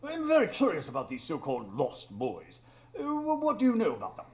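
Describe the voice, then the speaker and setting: A man playing a flustered, incredulous older English gentleman doctor in a live radio drama, blustering and stammering. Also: fairly high pitch, wildly swooping pitch, fairly quick, echoey room. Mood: curious, puzzled.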